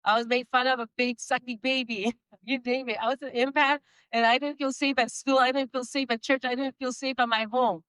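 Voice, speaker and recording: A woman with a slight Spanish accent, Spanish being her first language, giving an emphatic inspirational speech and slowing down for key phrases. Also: high voice, steady tone, a bit bright, smooth, no room sound, rough recording. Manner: emphatic, slows down for key phrases, laughs between points. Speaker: motivational speaker.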